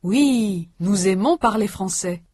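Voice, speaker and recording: A woman reading a language course aloud, with slow, careful and precise enunciation. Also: medium pitch, lively intonation, darkish tone, slightly rough, no room sound, studio quality. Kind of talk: scripted instructional reading.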